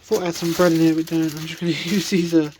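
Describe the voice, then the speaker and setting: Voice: mid-range voice, fast talker, noisy background. Chatty vlogger losing their voice. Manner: chatty, relaxed, many fillers and restarts. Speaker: van conversion vlogger. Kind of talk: casual vlog narration. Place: inside a small campervan.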